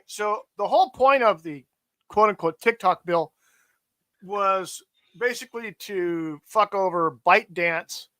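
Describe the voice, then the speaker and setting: A man's voice: sits high, sharp tone. A man hosting a casual political chat, ranting and swearing emphatically. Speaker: podcast host.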